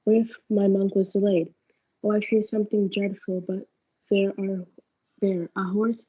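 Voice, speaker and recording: Casting: girl as fretful, frightened friar, husky mid voice, school stage reading, muffled audio. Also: mid-range voice, little pitch movement, unhurried, mellow. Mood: anxious. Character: anxious, fearful male friar. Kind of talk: school reader's theatre performance. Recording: dull lo-fi audio.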